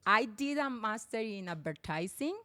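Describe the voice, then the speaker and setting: A woman's voice: a little high, lively intonation, moderate pace, bright, forceful tone. A young woman with a Colombian Spanish accent, a native Spanish speaker, talking earnestly as a guest in English with small grammar slips. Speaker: guest, drink business owner. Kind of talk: casual interview.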